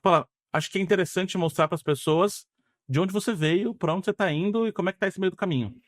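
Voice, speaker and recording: A man's voice: high voice, fast talker, no room sound, studio quality. A man with a Brazilian accent hosting an interview podcast in a conversational style.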